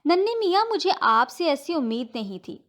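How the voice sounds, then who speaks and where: A woman's voice: sits high, sing-song, moderate pace, fairly bright tone, pitched above the performer's own voice. A woman voicing a moralising old grandmother in a children's storybook, admonishing and instructing the young. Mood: disappointed.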